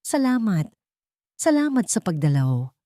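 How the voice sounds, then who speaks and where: A woman's voice: mid-range voice, big pitch swings, measured pace, mellow, no echo, clean studio sound. A woman voicing a humbled, remorseful male prisoner in a story, weak and pleading. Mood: ashamed.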